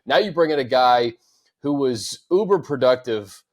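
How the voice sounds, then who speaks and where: A man hosting a sports podcast, smooth and even, laying out points in steady lists. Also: mid-range voice, normal speed. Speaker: podcast host.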